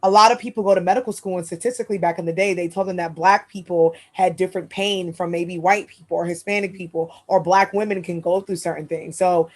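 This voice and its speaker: A woman's voice: fairly low pitch, fairly even intonation, fast, hardly any pauses. A woman from New York with a New York accent, co-hosting a casual podcast chat, talking emphatically and swearing freely. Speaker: podcast co-host.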